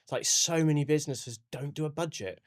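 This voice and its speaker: A man's voice: a little high, fairly quick. Male podcast host, conversational interviewer.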